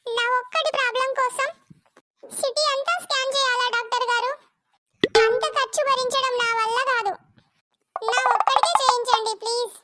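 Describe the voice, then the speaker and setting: A performer voicing a cheeky, innocent little boy who delivers deadpan punchlines in a cartoon comedy skit. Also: extremely high pitch, moderate pace, long pauses, noisy background. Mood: pleading.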